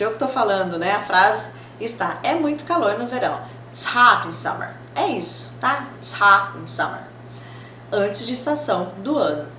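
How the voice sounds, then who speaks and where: A Brazilian woman with a fairly high voice gives a conversational English lesson. She explains step by step in a reassuring, didactic manner, repeating points and checking in. The room is quite echoey, with noticeable background noise. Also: a bit bright. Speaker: English teacher. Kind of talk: conversational grammar lesson to camera. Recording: roomy echo, clear background noise.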